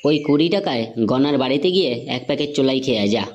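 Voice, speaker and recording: A man's voice: medium pitch, animated, racing, noisy background, lightly acted. A man voicing a cocky, irritable young slacker in a comedy cartoon, with brash slangy retorts.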